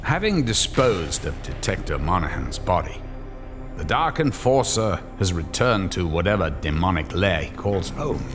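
An actor playing the narrator of a horror radio tale, telling it in a theatrical, measured way. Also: male voice, low voice, sing-song. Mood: ominous, wry. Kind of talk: scripted dramatic narration. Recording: long hall reverb, faint music.

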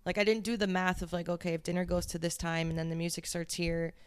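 A young woman with a slightly low voice co-hosts a podcast in a relaxed, chatty way. She drops in short remarks and corrections, then talks at length when a topic interests her. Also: fast talker, smooth. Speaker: podcast co-host. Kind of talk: casual chat.

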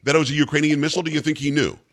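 A man's voice: fairly high pitch, steady tone, very fast. A man hosting a talk show and interviewing a guest. He talks enthusiastically, with frequent stumbling restarts.

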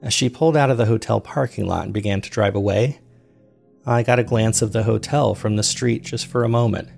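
A man narrating a first-person audio drama as a weary, reflective man, measured and introspective in his storytelling. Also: fairly low pitch, vocal fry, a little mellow, soft background music. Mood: tired, uncertain. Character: weary man recounting strange events, reflective and uneasy. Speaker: narrator and host of a fiction podcast.

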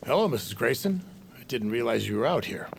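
An actor playing a wily, sardonic old newspaperman who wheedles and flatters his way through a period audio drama. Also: mid-range voice, fairly quick, gravelly, slight background noise. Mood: probing. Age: older. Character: wily old male reporter, sardonic and smooth-talking.

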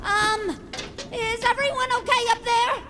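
A woman playing an eager, excitable young stargazer in an audio drama. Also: very high-pitched, a little slow, exaggerated voice. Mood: curious, calm. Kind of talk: audio fiction acting. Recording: clear background noise.

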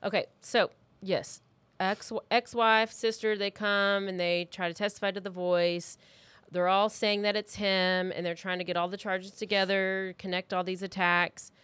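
A woman's voice: medium pitch, flat delivery, normal speed, fairly bright tone, clear, resonant tone, dry recording. A woman co-hosting a true crime podcast, telling the story informally from her notes in a conversational way.

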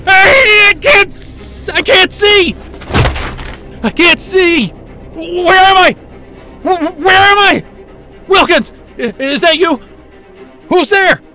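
A man's voice: very high voice, animated, takes it slowly, long pauses, pushed and bright, faint music behind, heavily acted. An actor playing a bewildered, angry, foul-mouthed male astrophysicist who stammers his way through a science-fiction audio drama. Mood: panicked. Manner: gasping, stammering, profane.

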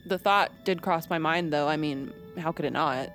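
A woman acts a casual young woman in an audio drama. Her slightly low voice is wry, slangy and flippant. Quiet music plays underneath. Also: moderate pace. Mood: candid.

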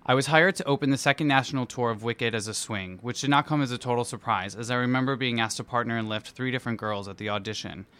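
A man with a smooth, clear voice at a medium pitch reads his own essay aloud. His delivery is theatrical and playful, with a self-deprecating streak, like a performer telling his own story. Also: quick pace, hardly any pauses, fairly bright tone. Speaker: musical theatre performer and dance captain. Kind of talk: personal essay read aloud.

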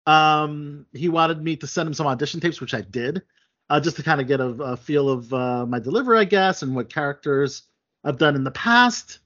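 A man's voice: on the high side, normal speed, a bit bright. A man hosting a casual chat show, talking at length with many fillers and restarts. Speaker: podcast host. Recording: dull lo-fi sound.

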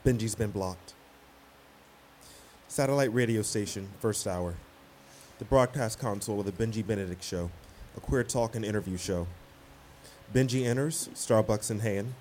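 A man narrating stage directions for a radio play in measured, declarative sentences. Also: fairly low pitch, a little mellow, natural voice. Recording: some background noise.

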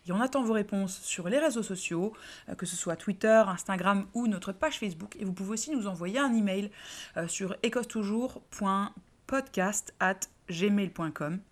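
A woman co-hosting a podcast, chatty and lively, reading announcements in a friendly casual way. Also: mid-range voice. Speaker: podcast co-host. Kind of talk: casual chat and scripted outro.

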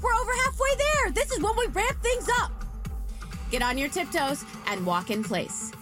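An actress playing a relentlessly upbeat yet sinister fitness instructor in an audio drama, coaching in a peppy, commanding way with motivational slogans. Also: female voice, very high voice, animated, normal speed, over music. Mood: upbeat, controlled.

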